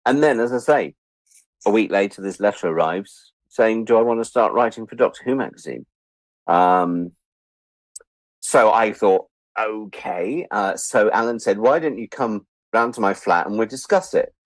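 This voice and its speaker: Low-voiced English man in his sixties. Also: moderate pace, no echo, poor audio. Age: 60s. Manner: animated storyteller, self-deprecating, acts out quoted remarks. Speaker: interview guest, writer and editor. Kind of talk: casual anecdotal interview talk.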